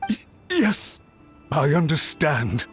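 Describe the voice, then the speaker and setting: A man's voice: on the high side, sing-song, takes it slowly. An actor playing an anxious, servile official in a video game, pleading and apologetic before his superior. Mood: cowed, deferential. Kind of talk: English video game voice acting. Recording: music under the voice.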